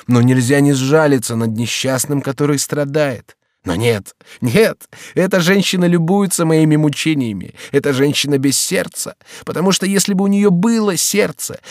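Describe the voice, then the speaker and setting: A man's voice: medium pitch, a little mellow, no echo, studio quality, voice pushed up, lightly acted. A man reading aloud and voicing a lovesick young count, impassioned and pleading. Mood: anguished.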